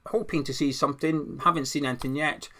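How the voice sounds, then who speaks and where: A young man with a croaky voice, giving his football opinions in a casual, conversational monologue with some restarts as a channel host. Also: a little high, fairly even intonation, fast talker, pushed and bright.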